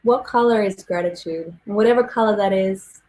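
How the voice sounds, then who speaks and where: Gentle woman leading a guided meditation. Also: medium pitch. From Australia. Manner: soft, gentle, slow guiding with pauses.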